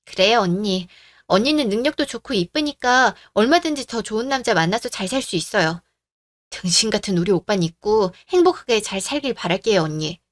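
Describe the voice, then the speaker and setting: A woman's voice: mid-range voice, expressive, a little fast, no room sound, clean studio sound, lightly acted. A woman voicing a polite, friendly young sister-in-law in a story radio drama.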